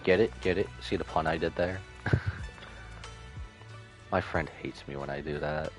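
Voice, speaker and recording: A man's voice: a bass voice, unhurried. A man live-streaming a game, reading along and reacting playfully, often repeating phrases for emphasis. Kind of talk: live stream commentary with dialogue read-aloud. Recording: game music behind voice. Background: game music.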